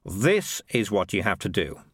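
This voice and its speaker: A man's voice: lowish voice, big pitch swings, a little slow, fairly bright tone, slightly rough, no room sound, clean studio sound, heavily acted. A man voicing Morris, a cynical streetwise male cat who talks in a wry, grumbling, sarcastic way.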